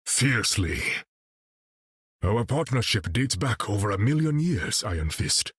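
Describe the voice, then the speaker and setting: A man's voice: fairly low pitch, sing-song, unhurried, husky, dry recording, studio quality, exaggerated voice. A man playing a proud, dignified warrior king in a video game, with an African accent and formal, regal phrasing. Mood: proud, solemn. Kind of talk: video game character voice acting.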